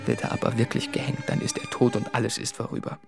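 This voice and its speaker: Anguished thirtyish letter writer, radio play, gravelly intense confessing, music underneath. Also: male voice, medium pitch, level tone, quick pace. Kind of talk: literary radio drama monologue.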